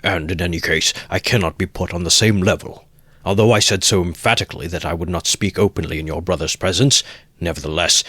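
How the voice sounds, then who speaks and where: A man's voice: sits low, fairly bright tone, dry recording. A man voicing a pompous, easily offended middle-aged suitor who speaks in a stiff, sententious way. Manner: sententious, aggrieved and stiffly formal. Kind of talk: audiobook character voice.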